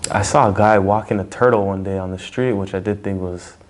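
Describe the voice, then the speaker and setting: A man's voice: low-pitched, steady tone, fairly quick. A man from Canada, a foreign teacher supervisor, answering interview questions casually with many fillers and restarts.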